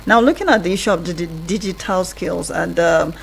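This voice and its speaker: A woman's voice: fairly low pitch, brisk, gravelly, a little hiss or hum. Nigerian woman hosting a radio interview. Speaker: radio host.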